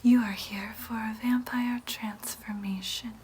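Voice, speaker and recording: A woman playing a gentle, reassuring vampire nurse in an ASMR roleplay, speaking in a soft, breathy whisper with careful, soothing explanations. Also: fairly high pitch, steady tone, a little slow, natural voice. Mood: reassuring, welcoming.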